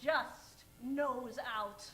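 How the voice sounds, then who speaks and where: A woman's voice: sits high, extremely slow, bright, forceful tone, full character voice. A woman playing a haughty, tough-talking scheming princess villainess in an improvised radio parody, with a theatrical delivery. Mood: scornful, tough. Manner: imperious, slangy, theatrical. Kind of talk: improvised old-time radio acting.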